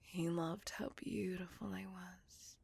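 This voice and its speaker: Breathy, whispering melancholy vampire woman, acted out. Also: fairly low pitch, fairly even intonation, darkish tone, heavily acted. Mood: reflective, subdued. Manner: slow, intimate, confessional storytelling. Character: beautiful, melancholy, bitter female vampire recounting her past. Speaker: voice actor in audio roleplay. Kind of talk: whispered ASMR roleplay monologue.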